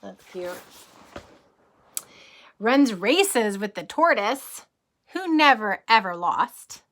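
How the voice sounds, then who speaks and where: Slow, animated woman reading to young children. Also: mid-range voice. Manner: expressive, addresses children with questions and simple explanations. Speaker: kindergarten teacher reading aloud. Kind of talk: read-aloud picture book for young children with casual asides.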